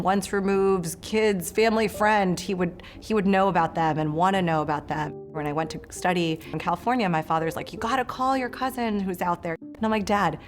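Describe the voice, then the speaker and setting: Candid low-voiced woman podcaster. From United States. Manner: candid, chatty with frequent "like" fillers. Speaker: journalist and podcast host. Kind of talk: personal essay talk to camera.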